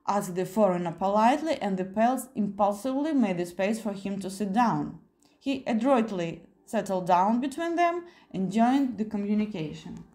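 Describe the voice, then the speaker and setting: A woman's voice: mid-range voice, warm tone. A woman narrating a literary audiobook, reading from a script.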